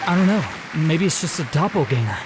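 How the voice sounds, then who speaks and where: Actor as male theatre-goer, fairly high voice, curious, gossipy, game dialogue, clear crowd noise. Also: lively intonation, brisk, natural voice. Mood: puzzled. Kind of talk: video game voice acting.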